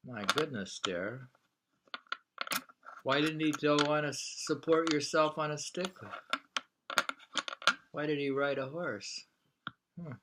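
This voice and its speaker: A man reading aloud from a book in an unhurried, musing way, with casual conversational asides. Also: fairly high pitch. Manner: unhurried, musing, pausing to hum and remark. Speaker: reader of a travel book and poems, commenting.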